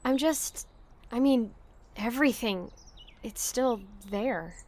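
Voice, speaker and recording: A woman's voice: on the high side, slow pace, a little mellow, a little hiss or hum. A woman playing a naive, curious and earnest young wanderer in an audio drama. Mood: tentative, grateful.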